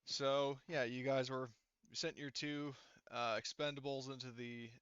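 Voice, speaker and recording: A man with a bright, smooth voice runs a tabletop game as its game master. He narrates casually and haltingly, circling back over his words. The sound is close and dry, a touch dulled like voice chat. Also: mid-range voice, level tone, no room sound, muffled recording. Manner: halting, rambling, filler-heavy delivery. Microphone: online voice call microphone.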